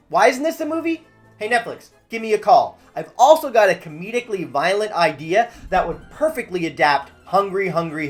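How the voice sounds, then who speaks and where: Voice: mid-range voice, fairly bright tone. A video essay host delivering scripted narration in a wry way with jokey asides.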